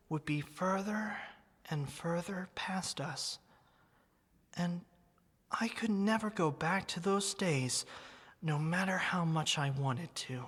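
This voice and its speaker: A woman voicing a gentle, wistful, loving teddy bear in an ASMR roleplay, speaking softly and tenderly. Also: mid-range voice. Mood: wistful, resigned. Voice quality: soft, breathy. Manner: slow, tender, sentimental. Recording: long reverb.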